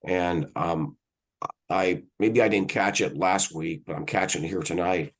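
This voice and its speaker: Hoarse low-voiced man. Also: steady tone, a little slow. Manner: rambling, clears throat. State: hoarse from a sore throat. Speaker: board director. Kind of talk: comments at a public meeting. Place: council meeting room.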